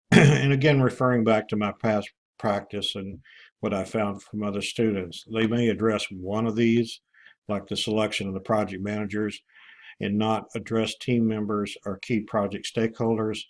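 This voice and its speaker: Male online instructor, lower-pitched voice, informal screen-share tutorial delivery with frequent hesitations. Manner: halting, with many pauses and throat clearing.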